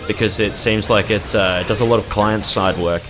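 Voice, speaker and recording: A man's voice: on the low side, steady tone, a little fast, music in the background. A young man casually commentating his gameplay, rambling and swearing freely as he reacts to the game. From Australia. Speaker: gaming YouTuber.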